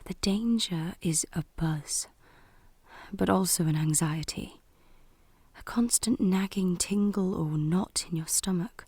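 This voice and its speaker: An actress playing a haunted academic researcher with a secret, speaking an introspective, confiding monologue in an audio drama. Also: female voice, fairly low pitch, takes it slowly, darkish tone, breathy edge, no echo, exaggerated voice. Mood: uneasy, intent.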